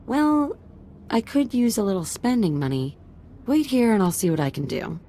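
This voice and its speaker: An actress playing a guarded young assistant in a video game, with a casual but wary way of talking. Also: female voice, on the high side, animated, normal speed, dark tone, slight background noise, natural voice. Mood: businesslike. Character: guarded young female assistant working off debt.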